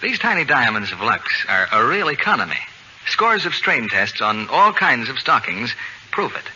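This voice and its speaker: Very bright, animated male radio announcer. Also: mid-range voice, normal speed. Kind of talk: 1940s radio sponsor pitch, scripted. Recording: muffled old radio broadcast, faint noise.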